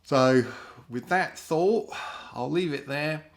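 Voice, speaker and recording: A man's voice: fairly high pitch, slow, creaky. A British man giving a casual, rambling spoken commentary in a sardonic manner. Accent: British English. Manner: rambling, sardonic, often mimics other people in put-on voices. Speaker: host of an opinion monologue.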